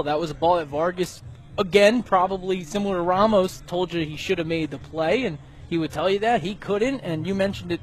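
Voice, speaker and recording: A man working as a radio color commentator, explaining baseball plays in a conversational way. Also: sits high. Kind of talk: baseball analysis between plays.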